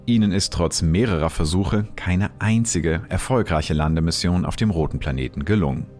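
A man giving calm, explanatory scripted documentary narration. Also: low-pitched, normal speed, faint music behind.

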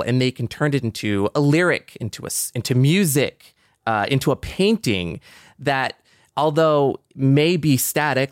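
A man on a podcast panel talking casually and thoughtfully, building his point haltingly in pieces. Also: mid-range voice, no room sound, studio quality.

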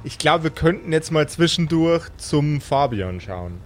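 Higher-pitched or game master, male. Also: lively intonation, measured pace. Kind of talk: improvised tabletop game narration.

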